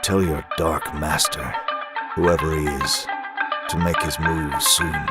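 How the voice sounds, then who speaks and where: A man playing a grim, relentless vigilante hero in a motion comic, speaking with controlled intimidation over loud dramatic music. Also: a bass voice, steady tone, slow. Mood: grim defiance. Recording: loud dramatic score and storm behind voice.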